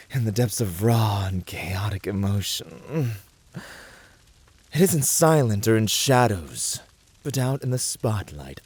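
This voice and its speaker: A man performs a flirty, passionate incubus for an ASMR roleplay. His low voice is husky and swoops freely as he teases. The delivery is unhurried, theatrical and flamboyant. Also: darkish tone, no room sound, exaggerated voice. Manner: teasing, dramatic, flirtatious delivery.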